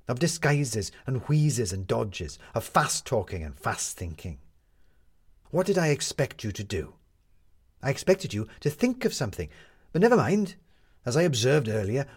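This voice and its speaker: A man voicing a brisk, determined young woman reporter in a storybook, challenging others with emphatic questions. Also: medium pitch, husky. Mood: exasperated challenge. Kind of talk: storybook character dialogue.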